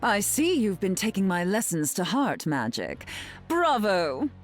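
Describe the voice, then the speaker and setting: Slow, haughty, sardonic mutant queen. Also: medium pitch, big pitch swings, soft background music. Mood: imperious, mocking. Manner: haughty, drawling, dryly mocking. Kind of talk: video game character voice lines.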